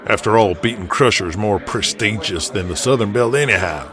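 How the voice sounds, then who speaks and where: A man voicing a stubborn, gruff wrestling promoter in an audio drama, terse, folksy and blunt. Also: fairly low pitch, fairly quick, a bit bright, pitched below the performer's own voice, lightly acted. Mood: calculating.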